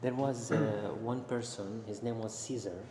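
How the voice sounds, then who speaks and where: A man working as a local guide, explaining sights outdoors on a city hilltop in an earnest, halting way. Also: on the low side, fairly even intonation. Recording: roomy outdoor sound with background noise.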